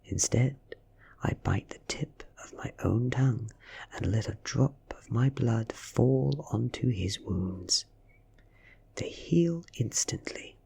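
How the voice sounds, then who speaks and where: A British man reading a story in a soft, hushed, half-whispered ASMR style, soothing and breathy. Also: lowish voice. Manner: slow, soothing, hushed delivery. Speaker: audiobook reader.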